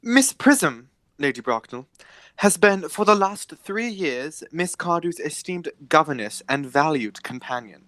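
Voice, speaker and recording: A man playing an earnest, anxious young gentleman in a radio play, formal and insistent. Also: a little high, lively intonation, measured pace, no echo. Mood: polite, formal.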